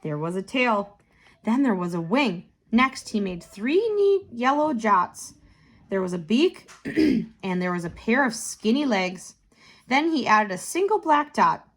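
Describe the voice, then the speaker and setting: A woman narrating a picture book for children, expressive and deliberate, lingering on key words. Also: fairly high pitch. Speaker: tutor reading a children's book aloud.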